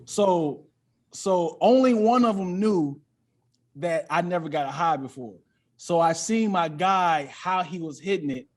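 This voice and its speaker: A male comedian with a high-pitched voice talks as a podcast guest. He tells things in a loose, comic storytelling style, animatedly voicing bits of dialogue as he goes. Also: a little slow. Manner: animated, acts out quoted dialogue.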